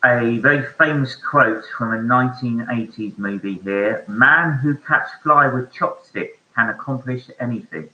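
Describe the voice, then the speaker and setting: A man hosting an online pub quiz, reading each question slowly and repeating it. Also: mid-range voice. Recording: echoey room, dull lo-fi sound.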